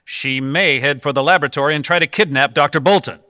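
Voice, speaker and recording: A man playing a decisive adventure hero in old-time radio style, giving brisk, clipped orders to his young team. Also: fairly high pitch, sharp tone. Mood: commanding, urgent. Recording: live stage performance in reverberant hall.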